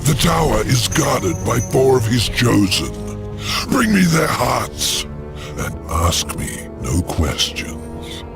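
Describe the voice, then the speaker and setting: A scheming demon lord, imperious and theatrical in fantasy game style. His low, gravelly voice swoops widely in pitch over music. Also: big pitch swings, slow pace, full character voice. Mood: scheming, commanding. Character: sly, commanding male demon lord, imprisoned schemer. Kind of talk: dramatic fantasy game acting. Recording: music behind the voice.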